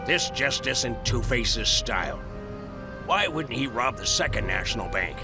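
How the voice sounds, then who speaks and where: A man's voice: medium pitch, expressive, unhurried, over music. A man playing an earnest police commissioner in an audio drama. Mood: puzzled.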